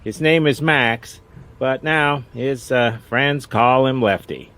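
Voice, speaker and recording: A man's voice: mid-range voice, slow, a bit bright. A man playing an earnest TV field reporter in a parody newscast, delivering trivial facts with solemn weight.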